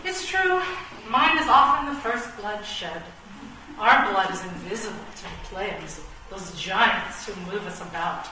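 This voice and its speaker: A woman's voice: medium pitch, animated, measured pace, pushed and bright. A woman acting a bookish, brooding chess pawn with a poet's soul in a comic radio play, with pompous, precise, theatrical delivery. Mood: gloomy, grandiose. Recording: roomy, distant, noisy stage recording.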